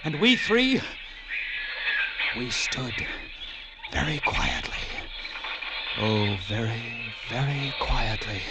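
An actor playing a haunted lighthouse keeper who narrates his tale of terror in intense classic radio drama style, with halting, suspenseful pauses. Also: mid-range voice, sing-song, very noisy. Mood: horrified. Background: loud dramatic music.

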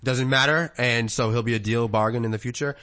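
A man's voice: medium pitch, steady tone, a little fast, bright, forceful tone. A man, a podcast co-host in loose, rambling sports chat who laughs often.

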